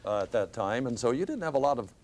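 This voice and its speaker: Older man with a mid-pitched voice, reminiscing. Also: big pitch swings, quick pace, darkish tone. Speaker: veteran radio newsman. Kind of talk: casual reminiscing.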